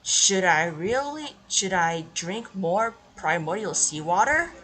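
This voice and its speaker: A woman's voice: lowish voice, sing-song, slow, fairly bright tone, rough recording. A woman hosting a gameplay video, commenting and reading game text aloud. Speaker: gaming video host. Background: faint game music.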